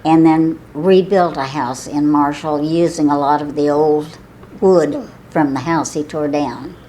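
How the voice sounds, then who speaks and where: A woman's voice: low voice, measured pace, dark tone, a little hiss or hum. A woman in her eighties with a Southern Illinois accent, chatting and laughing as she reminisces in an oral history interview. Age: 80s. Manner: chatty, laughs often, with false starts. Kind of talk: casual reminiscing.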